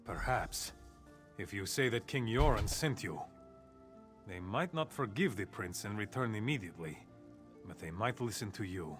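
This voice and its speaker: A man's voice: lowish voice, animated, normal speed, fairly bright tone, soft background music. A man playing an earnest, exasperated loyal thane and royal advisor in a video game, speaking with weary concern. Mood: earnest, resolute. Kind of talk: video game character acting.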